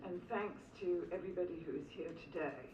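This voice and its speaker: Older Philadelphia woman, low voice, echoey. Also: brisk, muffled recording, background noise. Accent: Philadelphia with English traces. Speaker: honoree giving acceptance speech. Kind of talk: acceptance speech. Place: school hall at an awards ceremony. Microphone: podium microphone. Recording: echoey hall, distant mic.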